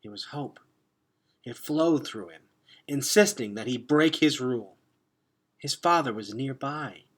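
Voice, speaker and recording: A man reading a children's adventure novel aloud like a teacher, expressive and dropping into hushed tones for suspense. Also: mid-range voice, measured pace, pauses often. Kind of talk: dramatic read-aloud narration of a children's novel.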